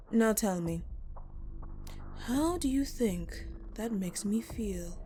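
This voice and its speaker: A woman playing an ancient dark goddess in an audio roleplay, speaking to the listener in formal, grandiose, commanding words, ominous yet caring. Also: medium pitch, slight background noise. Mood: ominous, stern. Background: background music.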